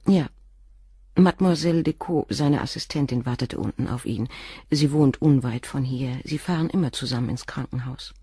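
An actress playing a dutiful, watchful wife in a radio crime drama, speaking in a measured, formal and emphatic way. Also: female voice, extremely low pitch, warm tone, husky, no room sound, studio quality. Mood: firm, defensive. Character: dutiful, watchful wife guarding her husband.

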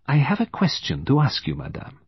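A husky, very animated older detective, acted by a male audiobook reader. He is courteous, probing and sly, choosing each formal, foreign-phrased word with deliberate care. The sound is close and dry. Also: mid-range voice, dry recording, muffled recording, voice pushed up, exaggerated voice. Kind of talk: audiobook character voice.

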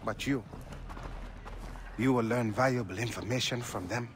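A man playing a solemn, measured island mentor. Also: medium pitch, lightly acted. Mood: solemn, instructive. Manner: measured, instructive, formal phrasing. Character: solemn, assured male island mentor with glasses. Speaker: guide character. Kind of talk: video game dialogue.

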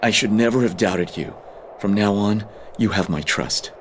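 An actor playing a stern, proud young army captain in a video game, with a commanding, formal delivery. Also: male voice, lowish voice, normal speed, slight background noise, natural voice. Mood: grateful, solemn. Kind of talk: dramatic video game acting.

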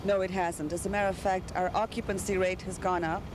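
A young woman gives quick interview answers as a hotel representative. She is recorded outdoors on an old TV tape with clear city noise. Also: mid-range voice, steady tone. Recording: noisy 1980s outdoor TV tape.

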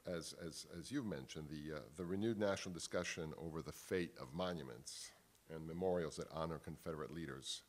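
A man, giving a measured academic panel talk at a table microphone in a wood-panelled hall, often restarting words. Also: a bass voice. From Italy. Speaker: panel speaker. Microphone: table microphone on stand.

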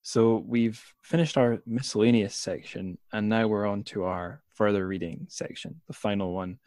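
A man's voice: fairly low pitch, fairly even intonation, moderate pace, darkish tone, dry recording. A man hosting a podcast interview in a casual, conversational style.

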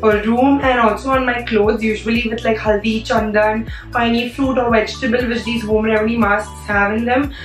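A hoarse young woman, chatting casually as a beauty vlogger, full of "like" fillers. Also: fairly high pitch, steady tone, normal speed, hardly any pauses. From India. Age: young adult. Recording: echoey room, a bit far from mic, faint music.

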